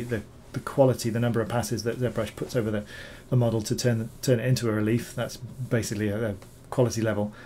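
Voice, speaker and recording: Croaky man demoing software. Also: mid-range voice, slight background noise. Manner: rambling, repeats words, many ums. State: hoarse, recovering from illness. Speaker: bas-relief sculptor reviewing software. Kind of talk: screen-recorded software tutorial.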